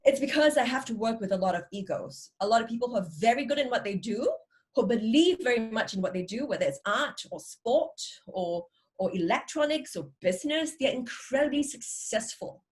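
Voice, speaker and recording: A woman from Singapore with a Singaporean accent, giving an energetic, upbeat workshop talk over a video call. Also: fairly high pitch, brisk, husky. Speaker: workshop speaker. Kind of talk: online workshop presentation. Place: home room with virtual background.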